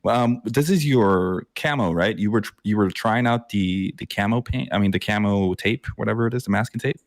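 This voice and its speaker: A man's voice: lowish voice, normal speed, dry recording, clean studio sound. A man hosting a hobby podcast, easygoing and affirming, with some hesitant restarts. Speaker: podcast host. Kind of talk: casual podcast chat.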